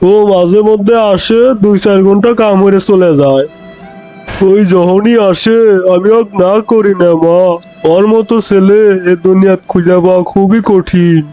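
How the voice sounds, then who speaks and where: A man's voice: high voice, fairly even intonation, measured pace, warm tone, faint music behind. A man voicing a kindly, talkative older shopkeeper in a cartoon, with a rural Bangladeshi Bengali dialect. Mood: admiring, explanatory. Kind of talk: Bangla comedy cartoon dubbing.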